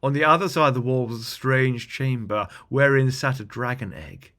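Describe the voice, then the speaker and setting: A man narrating a scripted fantasy bedtime story as a storyteller, with dramatic, deliberate phrasing. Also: medium pitch, sharp tone.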